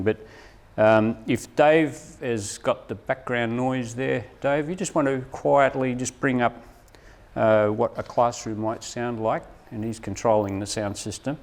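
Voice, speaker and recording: A man presenting a live workshop to teachers, explaining things and often checking in with "right?". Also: on the low side.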